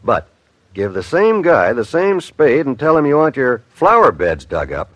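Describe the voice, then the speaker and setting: A man's voice: mid-range voice, sing-song, normal speed, fairly bright tone, no room sound. A man working as announcer and narrator of a classic radio show, in a folksy storytelling style.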